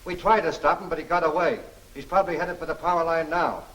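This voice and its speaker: A man's voice: on the high side, steady tone, fast, fairly bright tone, lightly acted. An actor playing a jumpy, defensive gangster underling in a 1940s crime film serial, talking in an urgent tough-guy manner. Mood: urgent report. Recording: slightly noisy old 1940s film soundtrack.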